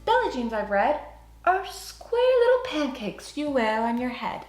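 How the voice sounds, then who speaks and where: A woman's voice: high-pitched, sing-song, measured pace, darkish tone, reverberant, pitched above the performer's own voice. A woman playing earnest storybook schoolteachers with fanciful ideas, speaking in theatrical rhyme. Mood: knowing. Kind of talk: children's storybook read-aloud.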